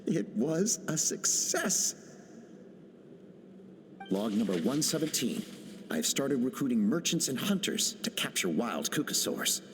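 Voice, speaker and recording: Obsessive flight-dreaming inventor in a game, lively delivery, long reverb behind. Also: male voice, mid-range voice, measured pace, long pauses, a little hiss or hum. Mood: intent. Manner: reading journal entries, driven and intent. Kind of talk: video game dialogue.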